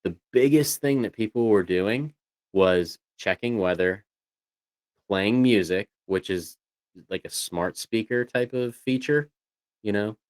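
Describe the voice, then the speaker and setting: A man's voice: on the low side, takes it slowly, no room sound. A man chatting casually as a livestream co-host, talking in a rambling way with lots of "you know"s. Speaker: co-host of a voice tech show.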